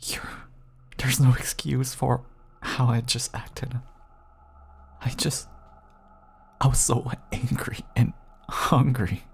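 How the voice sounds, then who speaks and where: A man playing a gentle, apologetic, seemingly cured vampire husband in an audio roleplay, pleading tenderly in a soft, breathy, stammering voice. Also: medium pitch, little pitch movement, slow pace, pauses often, in a whisper, full character voice. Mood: dazed, apologetic. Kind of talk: intimate audio roleplay acting.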